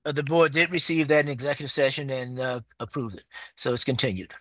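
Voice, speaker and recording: A man leads a public appeals board meeting over a video call. He speaks in a measured, procedural way, at an ordinary pitch for a man. His voice is recorded dry and close, with no room echo. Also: steady tone, fairly bright tone. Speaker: board chairman. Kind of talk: chairing a public hearing.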